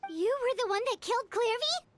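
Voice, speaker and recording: A woman voicing a small, curious, chirpy fairy-like girl companion in a video game, asking quick questions in a squeaky voice. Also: expressive, no echo, soft background music. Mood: surprised.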